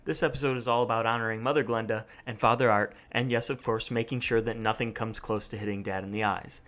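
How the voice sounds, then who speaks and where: A man's voice: lowish voice, steady tone, fast talker, fairly bright tone. A man hosting a podcast in a conversational style, talking to listeners and reminiscing casually. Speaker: podcast host.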